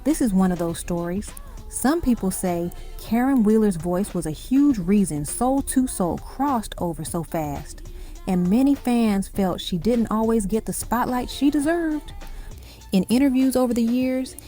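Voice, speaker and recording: Mellow woman narrating a music countdown. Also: fairly high pitch, expressive, a little slow. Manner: evocative storytelling in short dramatic phrases.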